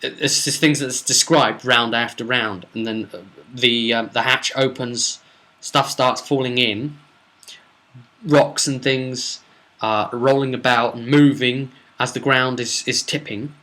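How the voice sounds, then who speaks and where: A man with a mid-pitched, sharp and bright voice recounts a tabletop role-playing adventure. He speaks as the game master, in an informal storytelling style. He leans on dramatic emphasis to carry the action. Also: fairly even intonation, a little slow. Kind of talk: casual recap narration of a tabletop role-playing session.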